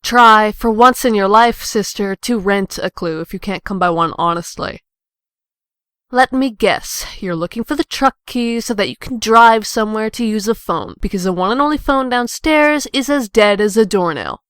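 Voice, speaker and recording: A woman's voice: mid-range voice, lively intonation, moderate pace, a bit bright, clean tone, no room sound, studio quality, close to an everyday voice. A woman playing a defiant, bitter young punk in a comic dub, biting and sarcastic. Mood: sarcastic, scornful.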